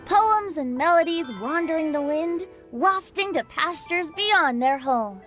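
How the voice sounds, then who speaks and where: A woman's voice: extremely high pitch, expressive, a little slow, a bit bright, faint music behind, heavily acted. A woman voicing a breezy, lyrical, boyish young male bard in a fantasy video game.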